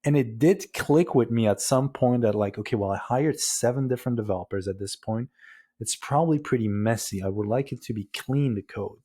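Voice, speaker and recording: A man's voice: mid-range voice, brisk, warm tone, husky. A man with a Dutch accent, a podcast guest talking casually and often restarting his sentences. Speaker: guest, ecommerce agency founder.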